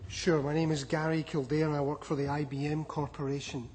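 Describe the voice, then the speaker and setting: A man in the audience at a forum, asking his question in a formal, measured way. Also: on the high side, little pitch movement, a little mellow, a little hiss or hum. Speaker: audience member. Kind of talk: question from the floor. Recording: long hall reverb.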